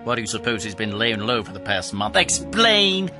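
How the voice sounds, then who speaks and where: A man's voice: on the low side, big pitch swings, normal speed, over music. An actor playing a sour, accusing little man in a comic radio drama, snide and haughty with clipped phrasing.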